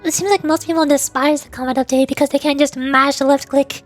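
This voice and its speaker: A woman's voice: sits high, steady tone, brisk, soft background music. A woman streamer chatting casually over gameplay in a chatty, giggly way. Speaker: VTuber streamer.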